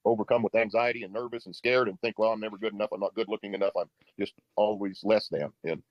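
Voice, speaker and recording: A middle-aged man speaks in a low voice at a very rapid pace. He is confiding personal matters as a prospect over dull, muffled call audio. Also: fairly even intonation, no room sound. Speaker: sales prospect on a coaching call. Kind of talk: confessional personal conversation. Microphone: recorded online or phone call.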